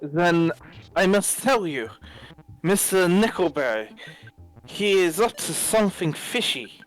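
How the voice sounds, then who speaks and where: A young French maid played by a man in an amateur audio drama, anxious and gossipy. The words come slowly and carefully in a put-on French accent, pitched a little high for a man, with music behind. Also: lively intonation, low-quality recording. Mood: earnest, secretive.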